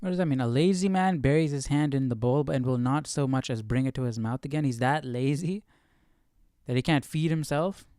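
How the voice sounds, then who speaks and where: A man's voice: mid-range voice, brisk, mellow, dry recording. A man hosting a live study stream, thinking aloud in casual commentary and often repeating and rephrasing himself.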